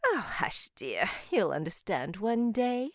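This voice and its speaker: Woman doing a teasing old witch for a visual novel, super animated, slow, dry close recording. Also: mid-range voice, no echo. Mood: sly teasing. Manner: theatrical, teasing, drawn-out endearments. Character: teasing old forest witch, motherly and theatrical.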